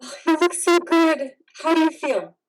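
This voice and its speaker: A woman's voice: extremely high pitch, moderate pace, faint music behind. A woman playing the caring, affectionate wife of a recovering veteran, speaking tenderly in a dramatic play reading. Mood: tender, concerned.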